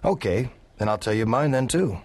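A man's voice: mid-range voice, lively intonation, moderate pace, noisy background, lightly acted. An actor playing an easygoing young cowboy drifter, telling his story in a reflective, folksy way for a western audio drama. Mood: playful, tender. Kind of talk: first-person western audio drama narration.

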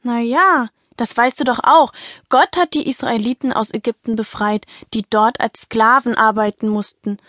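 Woman as a patient, high-voiced, sensible companion. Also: fairly even intonation, normal speed, no echo, studio quality, close to an everyday voice. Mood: explaining. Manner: explanatory, gently firm. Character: patient, sensible female companion correcting and coaching. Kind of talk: children's audio play dialogue.

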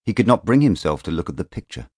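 Deep-voiced, expressive male audiobook narrator. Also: dry recording, clean studio sound. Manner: measured, expressive storytelling. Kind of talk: scripted literary narration. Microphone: close studio microphone.

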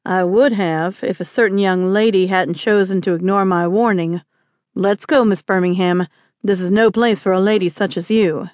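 A woman's voice: mid-range voice, fairly even intonation, normal speed, a little mellow, no echo, lightly acted. Woman voicing a stern, commanding frontier marshal, close and dry. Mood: sardonic. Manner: firm, irritable, ordering. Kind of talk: acted audiobook dialogue.

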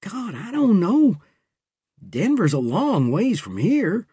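A man voicing a rough young male cowboy who talks harshly and in blunt exclamations. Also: sits high, wildly swooping pitch, slow, mellow, dry recording, clean studio sound, pitched above the performer's own voice, heavily acted. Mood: troubled.

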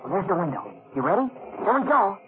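Impatient, bossy adventurer boy from a male actor, high and animated, vintage radio drama, tinny sound. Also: fast. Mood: brisk, impatient. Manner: blunt, bossy. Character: cocky, impatient, adventurous storybook boy who never grows up. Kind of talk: old-time radio acting. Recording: narrow-band old radio recording, loud music.

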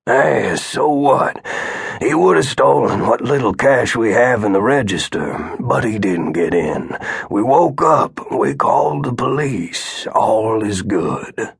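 A calm, indulgent old grandfather from a storybook tavern, speaking slowly with a gravelly, very raspy texture. A man voices him as he reads. He soothes gently, his pitch rolling up and down, recorded close and dry. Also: no echo, full character voice. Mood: soothing. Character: easygoing older grandfather, tavern keeper. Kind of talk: audiobook character voice.

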